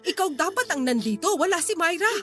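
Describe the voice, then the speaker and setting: A woman's voice: extremely high pitch, expressive, a little fast, a bit bright. A woman playing a stern but caring Filipino mother in an emotional, emphatic radio drama style. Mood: firm, exasperated. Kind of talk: Tagalog radio drama acting. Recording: music under the voice.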